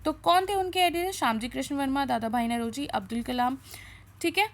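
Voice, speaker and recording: A woman's voice: high-pitched, brisk. A woman with an Indian accent teaching online students in a question-and-answer style, often answering her own questions and adding check-in tags. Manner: asks questions then answers them, with frequent check-in tags. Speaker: teacher presenting an exam question revision class.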